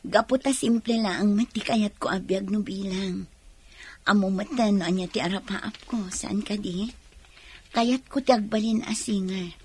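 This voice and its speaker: An actress playing an earnest, dreamy young woman with an Ilocano accent in a radio drama, soft and wistful with a breathy, husky voice. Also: medium pitch, moderate pace, lightly acted. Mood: earnest, hopeful. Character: earnest, dreamy young woman, simple rich man's daughter.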